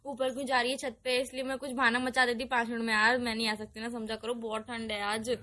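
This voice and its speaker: A woman's voice: on the high side, little pitch movement, quick pace, pushed and bright. A young woman playing a bossy, wheedling girlfriend in a phone-call skit, insistent and teasing as she repeats herself. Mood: coaxing excuses. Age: young adult.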